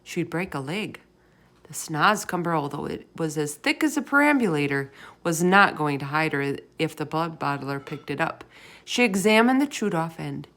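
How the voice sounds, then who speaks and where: A woman reading a children's story aloud as an expressive narrator. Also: low voice, moderate pace.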